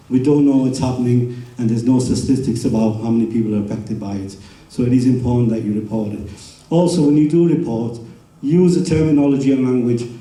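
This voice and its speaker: A man's voice: medium pitch, dark tone, distant mic. Kenyan-born man speaking in a roomy hall. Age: middle-aged. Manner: informal, many ums and uhs, explanatory. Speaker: community speaker. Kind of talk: public talk at a community event.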